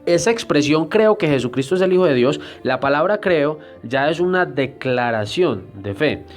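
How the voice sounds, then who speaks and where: A man giving a church history lesson in a deliberate, explanatory way, often pausing with hesitation fillers. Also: a little high, lively intonation, soft background music. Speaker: Bible teacher.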